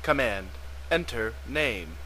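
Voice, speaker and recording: A man's voice: medium pitch, very animated, slow, sharp tone, a little hiss or hum, heavily acted, low-quality recording. A man voicing a terse commander who issues clipped orders in a dramatised web comic reading. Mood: curt, commanding. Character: terse commanding voice issuing text-game orders.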